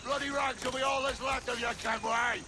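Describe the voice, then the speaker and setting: An actor playing a deranged, vengeful pirate captain in a video game, ranting and taunting with violent glee. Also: male voice, very high voice, steady tone, a little fast, noisy background. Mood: murderous rage. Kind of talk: dramatic video game acting.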